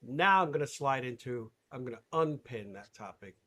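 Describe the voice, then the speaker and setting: A male host with a bright, forceful tone and an ordinary mid-range pitch. He talks in an animated, emphatic way and laughs often as he interviews a guest on a live webinar. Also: normal speed.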